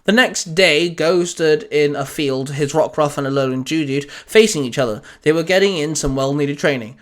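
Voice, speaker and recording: Male storyteller, fairly high voice, narrating scripted fiction. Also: normal speed, fairly bright tone. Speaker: storyteller, fan fiction reader.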